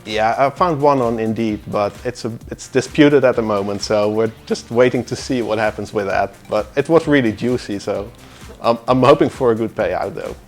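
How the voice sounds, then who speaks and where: A man's voice: lowish voice, normal speed. A man from the Netherlands, talking easily about hobby bug hunting in an interview. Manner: easygoing, small restarts. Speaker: bug bounty hunter. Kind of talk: casual interview answers. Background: faint music.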